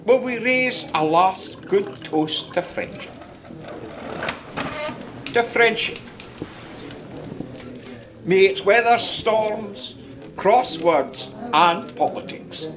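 An actor with a Scottish accent playing a wistful, companionable village storyteller in a radio play, reflective and unhurried. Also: male voice, high voice, lively intonation, background noise, full character voice. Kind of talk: radio drama narration.

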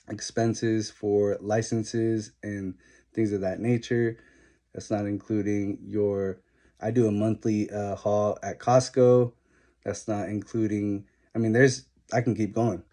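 A man's voice: lowish voice, a little mellow. A young man who owns a barbershop, giving straightforward advice to camera in a casual talking-head vlog. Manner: earnest, straightforward advice, list-like delivery. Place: small indoor room at home. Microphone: phone camera held close.

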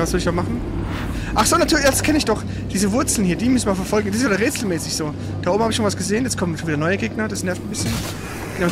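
An excitable gamer commentates casually on their own play, with pitch that jumps around a lot. The language is colloquial, with exclamations and mild swearing. There is clear noise behind the voice.

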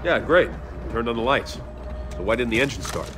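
A man's voice: medium pitch, lively intonation, normal speed, background noise, natural voice. An actor playing a determined young male squad leader, firm and earnest. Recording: game audio over music.

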